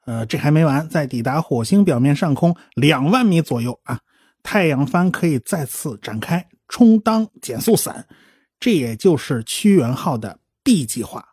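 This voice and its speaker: An actor playing an eager TV commentator, explaining a space race conversationally in a radio drama. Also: male voice, fairly high pitch, expressive, normal speed, darkish tone, slightly rough, no echo, clean studio sound.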